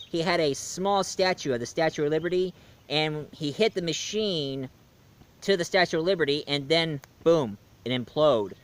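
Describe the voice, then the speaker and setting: A man's voice: on the high side, a bit bright, smooth. A man giving a casual spoken film review, chatty and jokey as he retells the plot.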